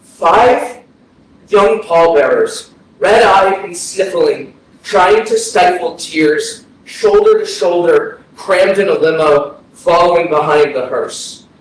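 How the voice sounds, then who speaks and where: A man's voice: sits high, slow pace. A man acting a grieving, self-conscious young man who mourns a dead friend in a poetic staged reading. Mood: somber. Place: indoor arts center stage, recorded from afar. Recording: very echoey hall, distant, faint noise.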